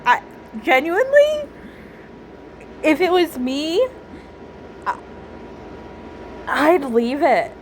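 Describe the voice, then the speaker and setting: A woman giving casual commentary on a game she is playing, speaking in a hesitant, halting way. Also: high voice, big pitch swings, slight background noise. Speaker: gamer reacting to a story quest.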